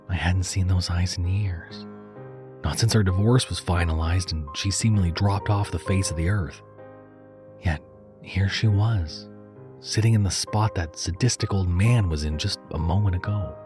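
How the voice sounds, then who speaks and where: Low-voiced man narrating horror. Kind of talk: dramatic scripted horror narration. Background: background music.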